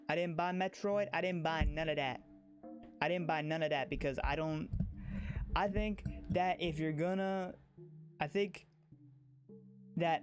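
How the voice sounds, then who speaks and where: A livestreamer talks over background music at a middling pitch. The delivery is casual and opinionated, circling back on phrases and restarting thoughts in a loose, rambling way.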